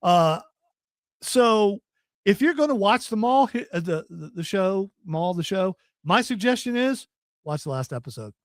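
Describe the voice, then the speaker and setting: A man hosting a casual pop culture podcast, talking in halting starts and restarts with an easygoing manner. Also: sits high, expressive, no echo, clean studio sound. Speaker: podcast host. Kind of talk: casual chat.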